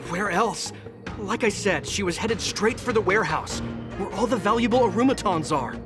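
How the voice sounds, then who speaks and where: Fairly high male voice, actor doing a nervous gossiping townsman, game dialogue, music behind. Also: moderate pace, natural voice. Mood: gossipy. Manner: chatty, gossiping. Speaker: game character. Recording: game audio over loud music.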